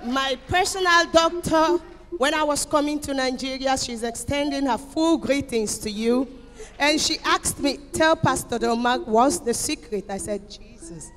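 Middle-aged woman, high-pitched, emphatic testimony to a congregation, reverberant hall PA. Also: a little slow, a bit bright, a little hiss or hum. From Equatorial Guinea. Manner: emphatic, declamatory, repeating phrases. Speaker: church member giving testimony. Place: church auditorium. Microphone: handheld microphone. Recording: echoey hall PA with music behind.

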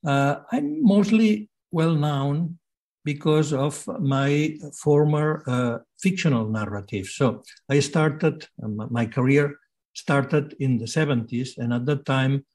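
A man's voice: a little high, slow, a little mellow. A man in his sixties with a Catalan accent, giving a hesitant lecture by video call from a home study, with many fillers and restarts. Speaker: guest lecturer. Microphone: laptop webcam on video call.

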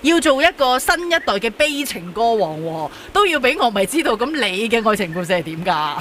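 Lively Hong Kong Cantonese woman radio host. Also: fairly high pitch, brisk, a little hiss or hum. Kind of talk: casual radio interview chat. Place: radio studio. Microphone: close broadcast microphone, wearing face mask.

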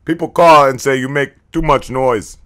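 A man's voice: medium pitch, moderate pace, a bit bright, clean tone, close to an everyday voice. A man voicing a simple-minded, cheerful ape police officer who speaks in broken caveman grammar, in an adult comedy cartoon. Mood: dim, cheerful.

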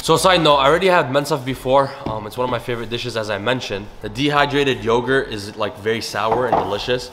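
A man's voice: mid-range voice, quick pace, hardly any pauses, very noisy. A young man chatting through a cooking session in a big home kitchen, upbeat and gushing about the food. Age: young adult. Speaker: cooking vlogger. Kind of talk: casual cooking commentary.

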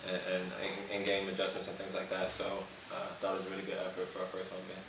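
A basketball player answering postgame questions in a relaxed way, with lots of fillers. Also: male voice, sits low, vocal fry. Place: echoey press room. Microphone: distant room mic. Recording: echoey room audio from far off with noise.

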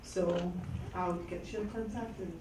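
A woman describing mentoring programs in a measured way. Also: fairly low pitch, steady tone. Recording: very echoey room, noisy, distant mic.

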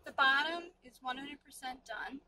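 A woman's voice: high-pitched, normal speed, far from the mic, over music, low-quality recording. A woman in her forties, chatty and upbeat, talking about her boat project into a phone at arm's length. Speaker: sailing vlog host. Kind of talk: casual chat. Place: outdoors at a boatyard, then inside a car. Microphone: phone camera held at arm's length.

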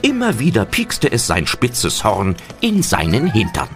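A man's voice: fairly low pitch, wildly swooping pitch, measured pace, exaggerated voice. A man playing the narrator of an audio drama, telling the story expressively from a script. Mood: narrating. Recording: loud background music under voice.

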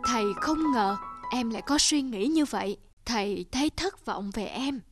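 Woman voicing a patient, high-pitched male schoolteacher. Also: animated, music in the background. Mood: stern, disappointed. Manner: measured, instructive. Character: patient middle-aged male schoolteacher, gently stern. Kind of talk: cartoon dubbing.

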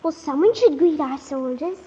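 A woman's voice: sits high, lively intonation, moderate pace, mellow. An actress playing an earnest, polite seven-year-old noble girl in a 1940s style radio drama, speaking precisely and formally. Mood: earnest, curious. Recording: dull old radio recording, long hall echo.

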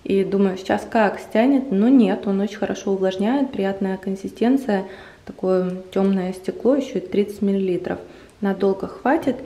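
A young woman chatting to the camera at home, enthusiastic and talkative, with drawn-out hesitations. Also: mid-range voice, dark tone. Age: young adult. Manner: chatty, enthusiastic about cosmetics, drawn-out fillers. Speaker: beauty vlogger. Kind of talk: casual chat to camera. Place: home room indoors.

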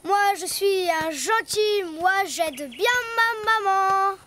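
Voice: very high-pitched, slow, pushed and bright, a little hiss or hum, exaggerated voice. A performer voicing a cheerful, proud little boy donkey in a preschool cartoon, with a childish, earnest singsong manner. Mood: proud, content.

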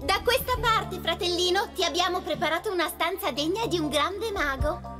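Super high little cartoon girl creature, bossy n playful, music behind. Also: moderate pace. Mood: teasing.

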